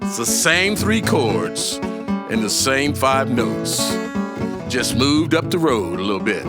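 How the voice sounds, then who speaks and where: A man telling a story as a performer, in a folksy way with dramatic pauses and conversational asides, on the history of music. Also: medium pitch, very animated.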